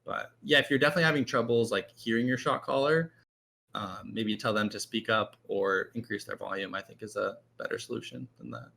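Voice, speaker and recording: A man guesting as a team shot caller, talking loudly in a rambling, filler-heavy casual way. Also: fairly low pitch, fairly quick, gravelly, a little hiss or hum. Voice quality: loud voice. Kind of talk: casual panel chat.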